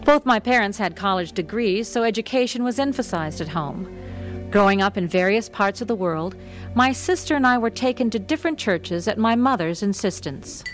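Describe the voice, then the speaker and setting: A thoughtful grown woman recounts her search in a radio play, as an actress voices her. Her tone is reflective, at a middle pitch, with faint music underneath. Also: lively intonation, normal speed, natural voice. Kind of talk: radio drama narration and acting.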